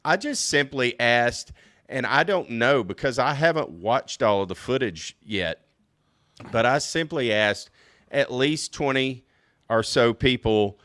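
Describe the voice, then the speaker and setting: Hoarse-voiced man chatting on a podcast. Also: mid-range voice, expressive, unhurried, a bit bright, dry recording, studio quality. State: losing his voice. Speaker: podcast co-host. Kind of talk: casual chat. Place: hotel room.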